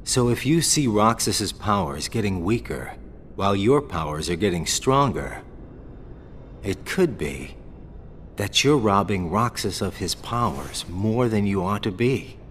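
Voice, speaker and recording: A man's voice: fairly low pitch, animated, a little slow, fairly bright tone, slight background noise. A man voicing a laid-back, wry young fighter in a game cutscene, with an offhand drawl. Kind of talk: video game cutscene acting.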